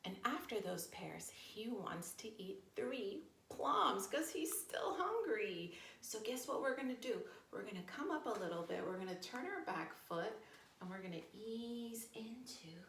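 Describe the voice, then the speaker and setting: A woman teaching yoga storytime to young children, encouraging and playful, with expressive storytelling and hushed, breathy moments. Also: mid-range voice, takes it slowly. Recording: roomy echo with faint noise.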